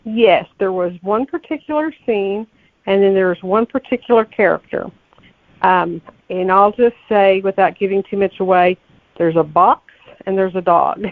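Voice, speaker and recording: Phone-like sound, woman, creaky voice, rambling casual interview talk, dry close sound. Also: mid-range voice, normal speed, no room sound. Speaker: podcast guest, novelist. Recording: tinny, phone-like, narrow-band line.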